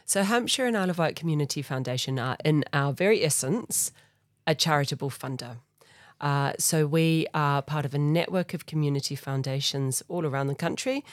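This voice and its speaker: A woman's voice: low voice, a little fast, no echo, clean studio sound. A middle-aged woman with a New Zealand accent, a charity chief executive speaking thoughtfully and earnestly as a podcast guest. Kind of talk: conversational interview.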